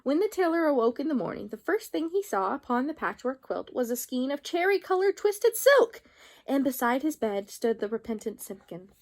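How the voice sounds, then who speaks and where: A woman's voice: high voice, sing-song, normal speed. A woman reading a children's storybook aloud expressively, drawing out some phrases.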